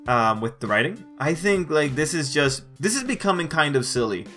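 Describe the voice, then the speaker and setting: A man's voice: a little high, a bit bright. A man giving a casual manga review as a voice-over, emphatic and repeating phrases to drive points home. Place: voice-over over still images.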